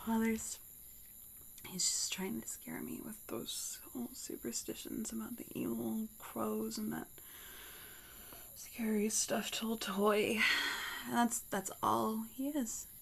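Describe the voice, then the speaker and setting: A woman's voice: on the high side, steady tone, slow, gravelly, husky, heavily acted. A woman playing an anxious, stubborn farm schoolgirl in a horror radio drama, speaking hesitantly. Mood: frightened, self-reassuring.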